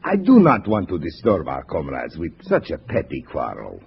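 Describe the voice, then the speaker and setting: Temperamental Russian playwright, wildly swooping voice. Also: male voice, extremely low pitch, huge pitch swings, moderate pace, gravelly, full character voice. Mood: contrite, pleading. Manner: theatrical, petulant, volatile. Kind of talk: 1950s radio acting. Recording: muffled old radio recording with noise.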